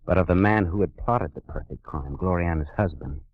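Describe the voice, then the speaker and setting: An actor playing a hard-boiled private detective who narrates in terse, dry first-person lines in a 1940s radio drama. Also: male voice, low voice, a little fast, dry recording. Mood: tense. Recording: dull vintage radio recording with music.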